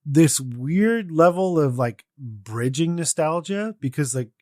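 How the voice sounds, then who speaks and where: A man's voice: on the high side, lively intonation, slow, a little mellow, no room sound. Casual male podcast co-host, dry sound.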